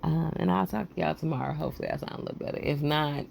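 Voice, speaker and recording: A woman hosting a motivational podcast, talking in a loose, rambling way with a raspy, froggy voice, hoarse and short on sleep. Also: sits low, fast, a little mellow, a little hiss or hum. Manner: loose, rambling encouragement with casual slang. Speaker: podcast host.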